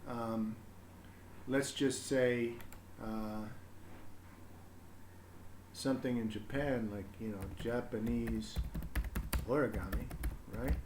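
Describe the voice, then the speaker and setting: A man's voice: mid-range voice, steady tone, takes it slowly, pauses often, background noise. A man talking casually on a livestream, explaining things in a rambling way as he thinks aloud. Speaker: live streamer reading to children. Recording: roomy, echoing room sound.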